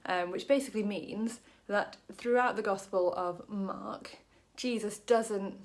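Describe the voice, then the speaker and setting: A woman from Wales talks through a short Bible passage in a relaxed, chatty way. She fills her sentences with ums and drops in small jokes about herself. Her voice sits at an ordinary middle pitch. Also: measured pace, creaky. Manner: chatty, many ums, self-deprecating jokes. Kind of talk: casual Bible study talk to camera.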